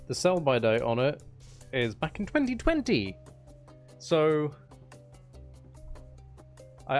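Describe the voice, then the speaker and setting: A man's voice: a little high, darkish tone. A man chatting casually on a live gaming stream, rambling and half talking to himself as he plays. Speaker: game streamer.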